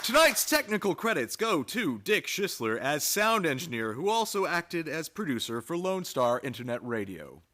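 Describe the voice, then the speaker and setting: A man's voice: on the high side, sing-song, moderate pace, few pauses, pushed and bright, some chatter behind, slight background noise. A man reading scripted credits as a radio announcer, recorded live in a theater. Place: theater stage, live performance.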